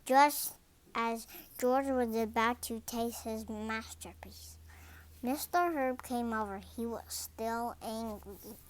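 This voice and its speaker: A young-sounding reader narrating a children's story aloud, deliberately and word by word. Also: medium pitch, slight background noise.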